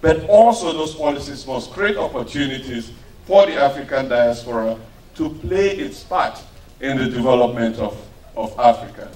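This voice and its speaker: A man's voice: medium pitch, lively intonation, darkish tone. A man from Cameroon giving an earnest, emphatic public speech at an event. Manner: earnest, emphatic, slow rising phrases. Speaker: event speaker. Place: event hall. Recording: echoey hall, distant mic, faint noise.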